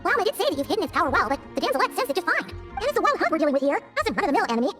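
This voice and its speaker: A tiny, chatty fairy companion for a video game. The voice is extremely high and swoops animatedly at a very fast clip. It is blunt, childlike and openly expressive. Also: female voice, music in the background.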